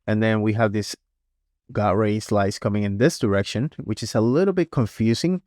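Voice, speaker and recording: A man teaching a digital painting paintover, sounding congested and a little hoarse, talking casually with frequent "right?" checks and small restarts. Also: on the low side, moderate pace, a little mellow, dry recording, studio quality. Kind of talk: tutorial voice-over.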